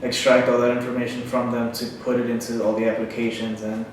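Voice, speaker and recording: A man in his twenties, a recent college graduate, speaking in a flat, matter-of-fact way in an interview. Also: mid-range voice, fast. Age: 20s. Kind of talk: casual interview. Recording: very echoey, distant, noisy.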